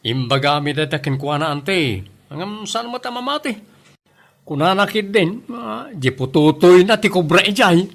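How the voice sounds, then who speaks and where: A man's voice: sits high, animated, moderate pace, vocal fry, a bit bright, exaggerated voice. A man playing an earnest, exasperated villager who cautions others, with an Ilocano Filipino accent, in a comedy audio drama.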